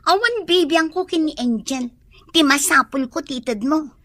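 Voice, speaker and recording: A woman's voice: high-pitched, moderate pace, pushed and bright, dry recording. A woman playing an insistent, questioning young daughter in a radio drama.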